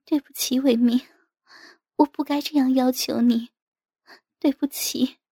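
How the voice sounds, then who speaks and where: Tender, worried wife for audio fiction , played by a woman: high, plaintive, cajoling, breathy, unhurried. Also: steady tone, mellow, no echo, studio quality, full character voice. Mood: plaintive, apologetic. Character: anxious, tender wife, pleading and coaxing.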